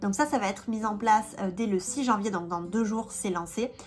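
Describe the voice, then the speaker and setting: A woman's voice: medium pitch, normal speed. Chatty woman with a head cold. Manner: chatty, many fillers and restarts. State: stuffy, has a cold. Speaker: vlogger and independent language teacher. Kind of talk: casual vlog chat.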